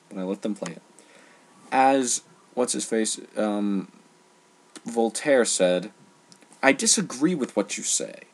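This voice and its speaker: Husky, creaky young man ranting earnestly. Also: lowish voice. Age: teens to young adult. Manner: earnest, exasperated, emphatic with halting pauses. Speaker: online ranter. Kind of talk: unscripted opinion rant.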